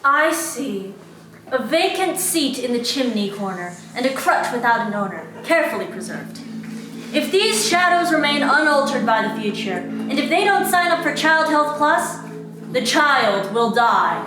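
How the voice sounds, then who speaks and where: A woman's voice: high voice, big pitch swings, a little slow, music in the background. A woman playing a stern, ominous Christmas spirit in an amateur dramatic reading. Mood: solemn, foreboding. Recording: very echoey room, faint noise.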